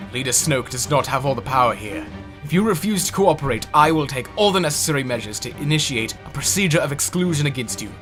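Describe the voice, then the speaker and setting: A man's voice: on the high side, a bit bright. A man voicing a haughty, irritable space opera general in a comic dub, speaking in a clipped, formal, imperious manner. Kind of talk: dramatic voice acting in a comic dub.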